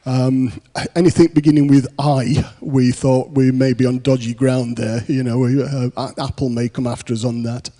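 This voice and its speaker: A man's voice: mid-range voice, level tone, moderate pace, few pauses, dark tone. A British man on a conference panel, answering at length with many ums and restarts. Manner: rambling, many fillers and restarts. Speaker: panelist, programme manager. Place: large conference hall. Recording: long reverb of a conference hall.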